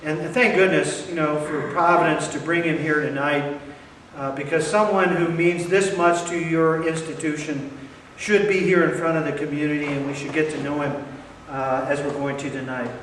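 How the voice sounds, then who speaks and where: A man hosting an onstage interview, speaking earnestly and haltingly with many fillers. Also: on the high side, fairly even intonation, a bit bright, slight background noise. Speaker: interviewer, event host. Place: large hall. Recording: echoey hall from far off.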